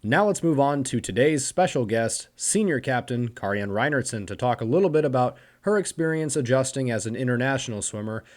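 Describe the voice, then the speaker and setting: A man's voice: medium pitch, animated, fairly quick, hardly any pauses, darkish tone. A college-age man reading a scripted podcast narration as host. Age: college-age young adult. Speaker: podcast host, swim team senior captain.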